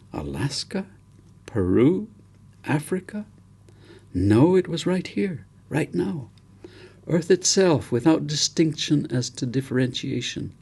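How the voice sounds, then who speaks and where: A man narrates a literary story in a low, raspy voice. His delivery is very slow and reverent, with deliberate phrasing and dramatic pauses, like an author solemnly reading from his own novel. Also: darkish tone.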